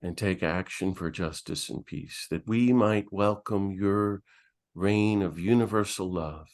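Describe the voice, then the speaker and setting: A man, a priest, offers a solemn spoken prayer in a measured way. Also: low voice, a little mellow.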